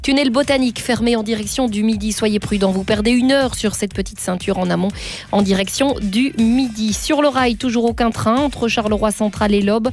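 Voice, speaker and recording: A woman's voice: a little high, normal speed. A woman with a Belgian French accent presenting a radio traffic bulletin. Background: soft bulletin music.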